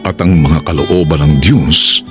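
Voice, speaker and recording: A man with a Filipino accent and a gravelly, husky voice, giving solemn scripted narration for a radio drama. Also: extremely low pitch, a little slow, music in the background. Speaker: narrator and co-director.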